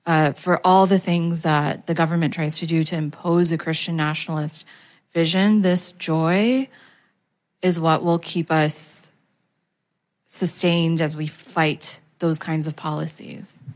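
A woman's voice: low-pitched, fairly even intonation, a little slow. A woman speaking as a panelist, earnest and hopeful. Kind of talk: panel discussion remarks.

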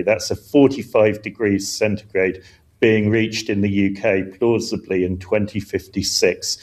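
A low-voiced man giving explanatory answers as an expert in a broadcast interview. He is earnest and emphatic, and he often stumbles into repeated words before pushing on. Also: a little mellow. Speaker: climate scientist, expert guest.

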